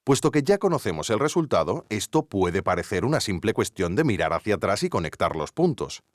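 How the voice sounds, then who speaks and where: Male investigative narrator, Spain accent, low register, animated delivery for audio documentary. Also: fairly quick, no echo, clean studio sound. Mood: measured, wry. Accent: Spanish from Spain. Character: male investigative journalist narrating his inquiry.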